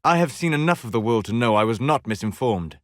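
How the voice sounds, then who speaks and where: A man acts a scholarly elven mage for a video game, in a close, dry recording. He is calm and proud, with a melancholy weight. Each phrase is formal and measured, set down with deliberate care. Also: medium pitch, no echo, natural voice. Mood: grave, reflective. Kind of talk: video game character dialogue.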